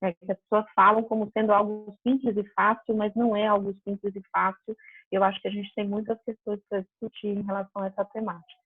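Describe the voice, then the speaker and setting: A middle-aged woman talks briskly on a video call and ticks off her points one by one. Her voice is husky. The line is tinny and phone-like, with a dry, close sound. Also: medium pitch, little pitch movement, dry recording. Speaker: founder of an entrepreneurs network. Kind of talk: panel discussion.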